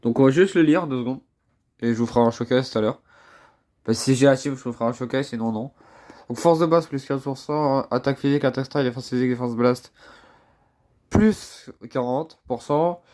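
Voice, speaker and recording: Excitable young man with a cold. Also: medium pitch, fairly quick. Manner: excitable, chatty, repeats words, swears under breath. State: congested with a cold. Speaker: mobile game YouTuber. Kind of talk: casual gameplay commentary.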